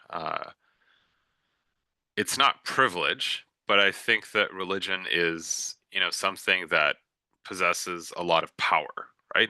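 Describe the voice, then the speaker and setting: A middle-aged man talks off the cuff as a guest author, working through ideas with lots of "right?" and self-corrections. His voice sits low but comes across sharp and forceful, with a dry, close sound and little room around it. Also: smooth, no room sound, rough recording. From United States. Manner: thinking aloud, frequent "right?" tags and restarts. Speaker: guest author on a festival panel. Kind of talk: unscripted panel talk.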